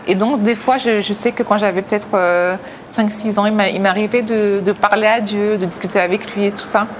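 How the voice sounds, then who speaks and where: A woman in her thirties from Africa, giving a personal faith testimony hesitantly, with many pauses. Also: mid-range voice, fairly even intonation, a little hiss or hum. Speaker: testimony speaker.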